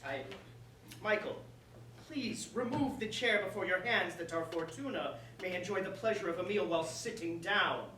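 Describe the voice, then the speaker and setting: A woman's voice: lowish voice, lively intonation, moderate pace, fairly bright tone. A woman playing an oily, menacing lord in a staged verse comedy, drawing out taunting lines theatrically. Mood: commanding. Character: menacing male soldier lord, mocking, oily and courtly. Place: roomy indoor space, far from the mic. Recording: distant echoey room with faint noise.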